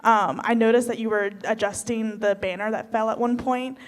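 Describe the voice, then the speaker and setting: A woman's voice: fairly high pitch, steady tone, moderate pace. A woman giving casual, rambling feedback to performers, often saying "like". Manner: rambling, frequent "like". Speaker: festival adjudicator, stage manager.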